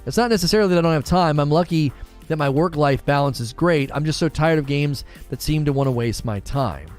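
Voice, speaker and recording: A man in his forties hosting a casual gaming livestream, musing aloud in a relaxed, conversational way as he answers chat. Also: fairly high pitch, fairly quick, soft background music. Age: 40s. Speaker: gaming channel host reading live chat.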